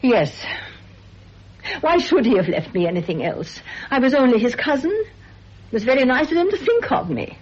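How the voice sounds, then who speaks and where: Shy apologetic teacher lady, breathy, lively pitch, muffled old radio sound. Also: normal speed, long pauses, exaggerated voice. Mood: resigned. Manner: gentle, apologetic, hesitant. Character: meek, self-effacing spinster teacher. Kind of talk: radio drama acting. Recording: dull old radio recording.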